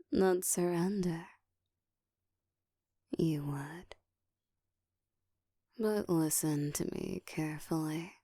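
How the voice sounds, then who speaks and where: A woman voicing a dominant, seductive ancient vampire in an intimate, breathy whispered ASMR roleplay, teasing and deliberate. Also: on the low side, warm tone, no room sound, studio quality, heavily acted. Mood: teasing, possessive. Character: dominant, seductive ancient female vampire, hungry yet controlled.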